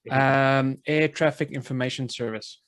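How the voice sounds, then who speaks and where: Tentative male student, fairly even delivery. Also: mid-range voice, measured pace, clear, resonant tone, no room sound. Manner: tentative, hesitant. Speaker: student pilot. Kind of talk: thinking aloud, answering instructor's questions. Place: online video call.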